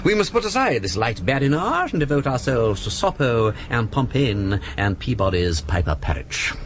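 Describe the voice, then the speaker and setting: A male actor as a suave aristocratic English detective from a radio play. His upper-class voice swoops extravagantly up and down, facetious and playfully pompous. The recording has loud background noise. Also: mid-range voice, sing-song, moderate pace, lightly acted. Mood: teasing, needling. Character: breezy, witty, aristocratic English gentleman sleuth. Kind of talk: BBC radio drama acting.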